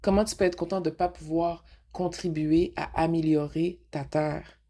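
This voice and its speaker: A woman with a Québécois accent talks frankly in a loose, rambling monologue, hopping between French and English in the middle of her sentences. Her voice sits low and carries a husky, breathy edge. She pushes her points with rhetorical questions. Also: fairly even intonation, moderate pace, mellow. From Quebec. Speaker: radio show host. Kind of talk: casual monologue.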